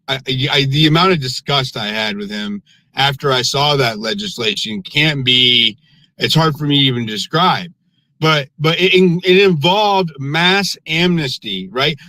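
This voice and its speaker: A man in a live online audio room, lecturing forcefully in a heated political argument and restarting his sentences with repeated words. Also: a little high, dry recording, low-quality recording. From United States. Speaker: panelist in live audio space.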